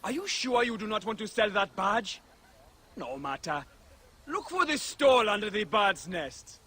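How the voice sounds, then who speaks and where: High, husky, pushy street trader. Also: male voice, sing-song, a little slow, sharp tone, heavily acted. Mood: eager, coaxing. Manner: salesman's coaxing patter. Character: eager, pushy male street trader of curios. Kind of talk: video game character acting.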